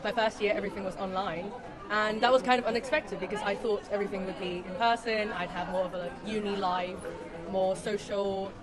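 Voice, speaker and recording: A woman's voice: mid-range voice, steady tone, fairly quick. Chatty young woman from Hong Kong. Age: young adult. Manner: open, chatty with many likes. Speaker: law student. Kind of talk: casual interview answer. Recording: busy crowd behind.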